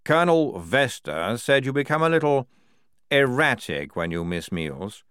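A man's voice: mid-range voice, big pitch swings, a little slow, fairly bright tone, clear, resonant tone, dry recording, voice pushed up. A man puts on a senior army general for an audiobook. He is curt and commanding, with an irritable edge. The sound is dry and close. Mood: weary, condescending. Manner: curt, authoritative. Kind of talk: audiobook character dialogue.

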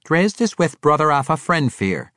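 A man's voice: on the high side, sing-song, moderate pace, fairly bright tone, no echo, studio quality, voice pushed up. A man voicing a cheerful, playful female dwarf with a light, teasing manner. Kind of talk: audiobook character voice.

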